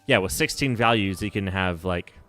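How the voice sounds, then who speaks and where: A man with a low voice talks loosely through a game livestream. He rambles, stops to correct himself, and laughs easily. Soft music plays faintly behind him. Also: moderate pace. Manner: rambling, self-correcting, nerdy, laughs often. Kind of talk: casual livestream chat while playing.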